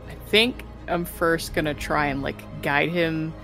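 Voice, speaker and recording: A woman's voice: sits low, a little slow, over music. A woman chatting casually as a player at a tabletop game.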